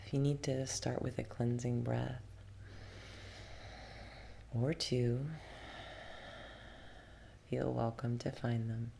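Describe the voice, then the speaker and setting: A woman guiding an ASMR yoga relaxation, speaking softly and deliberately, often slipping into a whisper, with gentle instructions. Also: extremely low pitch. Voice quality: soft, breathy, often dropping into a whisper. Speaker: yoga nidra meditation guide. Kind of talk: ASMR guided relaxation and breathing practice. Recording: loud steady ambient noise.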